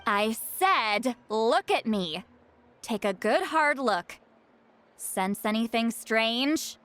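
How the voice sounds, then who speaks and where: A woman playing a proud, sharp-tongued high priestess who flusters easily, in a video game. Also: animated, slow pace. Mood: bossy. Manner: bossy, brash, theatrical. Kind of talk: video game cutscene voice acting.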